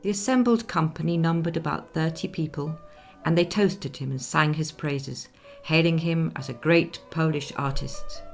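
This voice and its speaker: A woman playing a composed, discreet 19th-century lady who recounts her memories in formal, measured speech for a radio play. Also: deep voice, creaky, faint music behind. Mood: composed, sympathetic. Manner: measured, formal, genteel storytelling.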